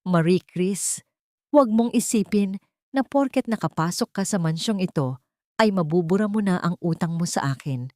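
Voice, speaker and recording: A woman's voice: on the low side, animated, moderate pace, darkish tone, dry recording, studio quality, natural voice. A woman storyteller voicing a spiteful elderly mother-in-law in an audio drama, sneering and accusatory. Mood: sneering mockery.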